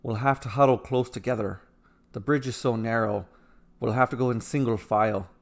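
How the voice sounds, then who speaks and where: A man voicing a proud, dutiful soldier in a fantasy story, speaking in an earnest, brisk manner. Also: medium pitch, steady tone, lightly acted. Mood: practical. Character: proud, dutiful fast-running male guard soldier.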